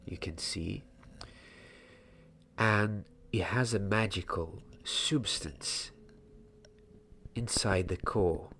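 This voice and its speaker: A young man acting as a gentle wizard wand maker in an ASMR fantasy roleplay. His voice is low and hushed, often half-whispered, and extremely slow, with pauses between short phrases. There is clear hiss behind him. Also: heavily acted. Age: 20s. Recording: audible background hiss, small clicks.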